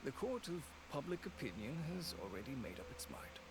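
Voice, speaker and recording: An actor playing a cautious, pragmatic middle-aged shop owner and father who speaks in a measured, advising way. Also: medium pitch, sing-song, background noise, lightly acted. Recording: game audio with background ambience.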